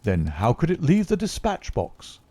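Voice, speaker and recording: A man voicing an excitable young male government secretary who talks in an agitated, exclamatory way. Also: fairly high pitch, normal speed, dry recording, pitched above the performer's own voice. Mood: indignant.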